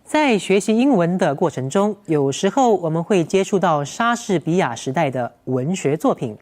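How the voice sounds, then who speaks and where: Woman narrator, low voice, very animated scripted read. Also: normal speed. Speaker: segment narrator.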